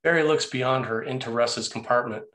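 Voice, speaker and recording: A man's voice: medium pitch, normal speed, fairly bright tone. A man reading stage directions as the narrator of a screenplay table read.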